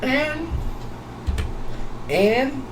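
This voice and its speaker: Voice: high-pitched, lively intonation, drawn-out words. A gaming video host giving casual commentary and a sign-off, gushing and repeating phrases over and over. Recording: noisy, echoey room, distant mic.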